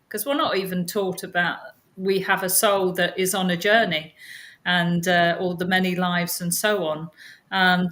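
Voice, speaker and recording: A woman with a medium-pitched voice co-hosts a talk show over an online call. She talks in a casual, conversational way. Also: fairly bright tone. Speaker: co-host.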